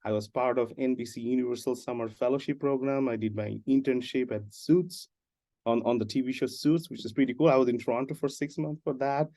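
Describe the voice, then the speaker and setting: A man from Bangladesh, guest on an interview, telling his career story in an animated, joking way. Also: mid-range voice, a little fast. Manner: animated, jokey storytelling with laughs. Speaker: guest, animation production supervisor and writer-director. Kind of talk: casual interview talk.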